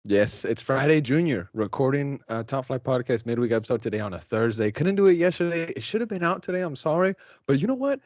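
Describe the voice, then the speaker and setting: A man's voice: mid-range voice, lively intonation, fast, darkish tone. A man hosts a podcast over a video call, talking in an upbeat, loose, slangy way. The sound is dull and lo-fi, with a dry room and no echo. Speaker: podcast host. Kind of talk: casual chat.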